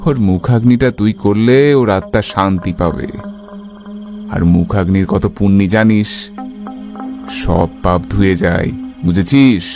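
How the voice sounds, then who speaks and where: A man voicing a persuasive, impatient villager with a brisk, cajoling manner in a horror cartoon. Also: low voice, music in the background. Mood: coaxing. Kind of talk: Bengali horror cartoon acting.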